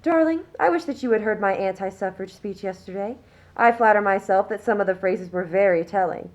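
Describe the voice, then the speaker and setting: A woman's voice: mid-range voice, a little fast, a little mellow, dull audio, a little hiss or hum, lightly acted. Radio play society matron played by a woman: middle-aged, genteel, smug, primly condescending, mid-range voice. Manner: prim, condescending, self-satisfied. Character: smug, genteel upper-class anti-suffragist mother, middle-aged. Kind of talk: scripted radio play acting.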